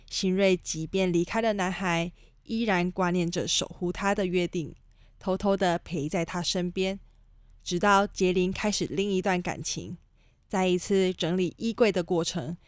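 A woman's voice: on the low side, no room sound, clean studio sound. A woman narrating a scripted recap as presenter of a drama programme. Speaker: programme narrator introducing the episode.

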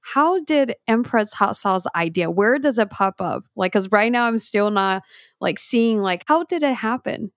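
A woman's voice: medium pitch, animated, measured pace, no echo. A woman hosts a casual interview with a curious manner. She asks follow-up questions and keeps the guest going with frequent "mm-hmm"s. The recording is dry, with no room sound. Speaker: podcast host.

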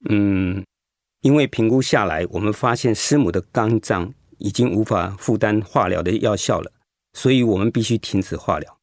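A man acting in a radio drama as a gentle, sympathetic doctor who explains and consoles in a measured way. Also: on the low side, dry recording, clean studio sound. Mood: gentle, regretful. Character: gentle male doctor, calm, sympathetic hospital director.

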